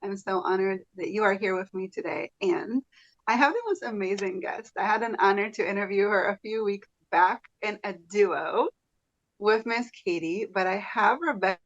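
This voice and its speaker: A woman's voice: mid-range voice, animated, moderate pace, fairly bright tone. Enthusiastic woman podcast host with a gushing delivery, on slightly dull audio. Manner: effusive, enthusiastic. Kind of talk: podcast interview.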